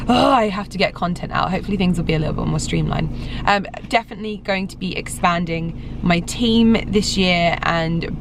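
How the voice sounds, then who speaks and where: A young English woman vlogger in a casual, rambling update, her voice slightly croaky after being ill. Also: lowish voice, noisy background. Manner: chatty, rambling, frequent restarts and fillers. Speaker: lifestyle vlogger. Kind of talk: casual vlog update to camera.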